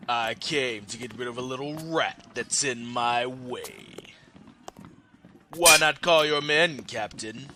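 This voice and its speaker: An actor playing a ruthless, gloating bandit king in an audio drama, with a mocking, drawn-out menace. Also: mid-range voice. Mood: mocking, menacing. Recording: clearly noisy home recording with clicks.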